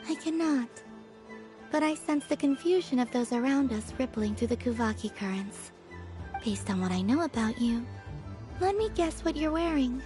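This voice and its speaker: A woman playing a serene, dreamy young maiden in a video game, soft and gentle, matter-of-fact even when teasing. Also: sits high, moderate pace. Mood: calm, teasing. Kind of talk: dramatic video game voice acting. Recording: music behind the voice. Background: music.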